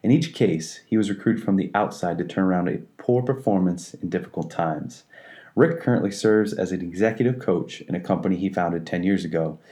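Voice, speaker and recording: Guy hosting an interview podcast, lowish voice, upbeat, some ums. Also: fairly quick, mellow. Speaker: podcast host. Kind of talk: reading an introduction, then chatting.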